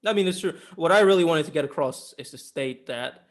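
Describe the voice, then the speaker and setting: A man co-hosting a podcast, explaining and discussing a film in a casual, measured conversation. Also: fairly high pitch. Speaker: podcast co-host. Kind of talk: casual film review chat.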